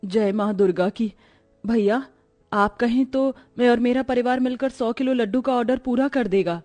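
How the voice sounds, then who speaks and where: Lady doing a devout poor bahu in a cartoon story, highish voice, earnest and pleading. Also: normal speed, a little mellow, faint music behind, natural voice. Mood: respectful. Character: devout, long-suffering poor young daughter-in-law. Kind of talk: Hindi moral story cartoon acting.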